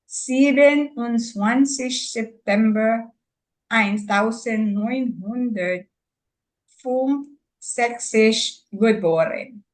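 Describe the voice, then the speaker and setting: Slow, halting Filipino woman in her sixties. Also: on the high side, low-quality recording. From Philippines. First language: Tagalog. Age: 60s. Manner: halting, hesitant learner with many fillers. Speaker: student. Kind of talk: German class self-introduction.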